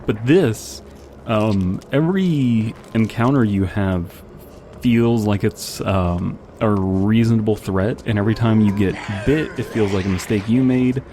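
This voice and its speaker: A man's voice: lowish voice, a little slow, dark tone, slight background noise. A man giving casual, rambling commentary as he plays a video game, with wry joking asides. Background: game music and gunfire.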